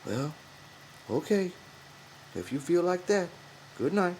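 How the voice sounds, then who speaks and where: A man voicing an old ranch hand in an audiobook, quiet, cautious and folksy. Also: high voice, big pitch swings, takes it slowly, a little hiss or hum, voice pushed up, full character voice. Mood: earnest. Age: older. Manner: soft-spoken, folksy. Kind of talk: audiobook character voice.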